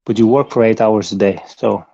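A man in his forties, a guest on a podcast, speaking calmly and plainly, repeating words as he thinks. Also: lowish voice, moderate pace, creaky, husky, dry recording, muffled recording. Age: 40s. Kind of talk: casual chat.

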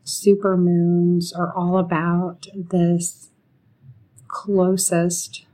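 Woman, meditation guide, mellow soft-edged tone, even low-key melody, soothing, long pauses. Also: low-quality recording. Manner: soothing, unhurried, with long pauses between short phrases.

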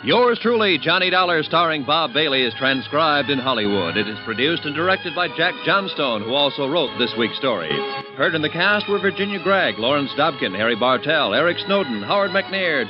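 A man's voice: on the high side, big pitch swings, moderate pace. A man reading scripted credits as a 1950s radio announcer. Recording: muffled vintage broadcast over music.

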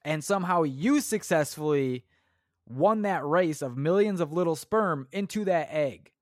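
A man's voice: on the high side, expressive, measured pace, no echo, studio quality. A young man hosting his own podcast, rambling crudely and mocking himself, swearing often. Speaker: podcast host. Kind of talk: solo comedic podcast rant.

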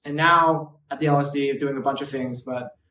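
A man's voice: medium pitch, fairly even intonation, moderate pace, pushed and bright, far from the mic, slight background noise. A man in his twenties from Hong Kong, a guest chatting casually on a podcast with lots of "like" and "right". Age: 20s. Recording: roomy echo, away from the mic.

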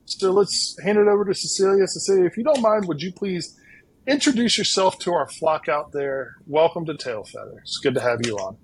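A man's voice: high voice, animated, brisk, a little hiss or hum, low-quality recording. A man hosting a podcast interview, upbeat and friendly, talking with many fillers. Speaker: podcast host.

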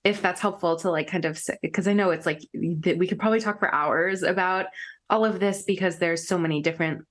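A woman's voice: fairly low pitch, steady tone, brisk. A woman hosting a podcast, asking questions in a casual, curious way full of "like" fillers. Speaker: podcast host. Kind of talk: podcast interview.

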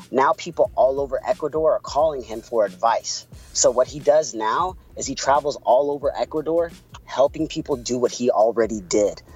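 A husky-voiced man with a Puerto Rican accent, co-hosting a podcast. He tells stories in a loose, chatty way and keeps dropping "man" into his sentences. Soft music plays faintly behind him. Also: medium pitch, poor audio. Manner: relaxed, chatty, says "man" a lot. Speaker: podcast co-host. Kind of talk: casual podcast storytelling.

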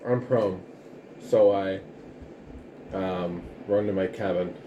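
A man's voice: low voice, takes it slowly, dull audio, background noise. A man playing a tabletop role-playing game, joking and speaking for his character. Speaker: player. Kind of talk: casual tabletop play.

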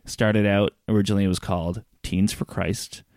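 A man's voice: lowish voice, level tone, normal speed, a little mellow, dry recording, clean studio sound. A young man co-hosting a podcast, telling stories in a laid-back way with lots of fillers and laughs. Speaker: podcast co-host. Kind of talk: casual chat.

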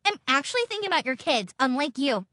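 A woman's voice: high-pitched, very animated, fast, bright, forceful tone, no room sound, voice pushed up, full character voice. A woman voicing a self-righteous, aggrieved, menacing woman in an exaggerated, accusatory dramatic reading of text messages.

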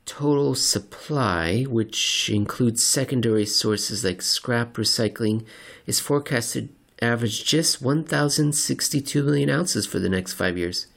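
A man reading a scripted narration in a dramatic, deliberate and wry manner. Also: mid-range voice, creaky, a bit bright. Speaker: narrator and reader. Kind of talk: theatrical scripted narration of market report and story.

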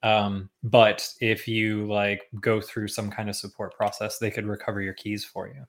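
A young man talking as a guest on a podcast, relaxed, with lots of ums and likes and frequent chuckles. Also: lowish voice, moderate pace. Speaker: podcast guest, tech founder. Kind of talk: casual interview chat.

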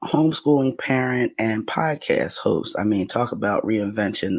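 A woman hosting a podcast, talking in a casual interview chat with a low, raspy voice that gets mistaken for a man's on the phone. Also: animated, moderate pace, darkish tone, no echo. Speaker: podcast host.

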